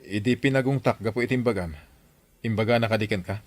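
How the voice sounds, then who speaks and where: An actor playing a downcast uncle in a radio drama. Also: male voice, fairly low pitch, fast talker, no echo, lightly acted. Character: downcast uncle talking with a child.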